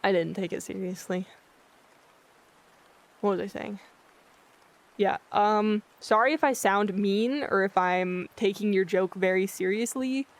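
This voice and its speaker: A livestreamer chatting casually with viewers in a monotone, blunt-sounding way, often losing their train of thought. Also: medium pitch, unhurried, pauses often. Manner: rambling, loses track of thoughts. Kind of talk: casual chat with live viewers. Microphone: filtered streaming mic.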